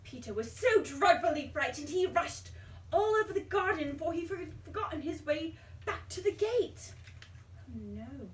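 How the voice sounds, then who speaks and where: A woman reading a children's storybook aloud in an expressive, dramatic way, pausing to put questions to a young child. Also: very high-pitched. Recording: roomy, distant mic, faint background noise.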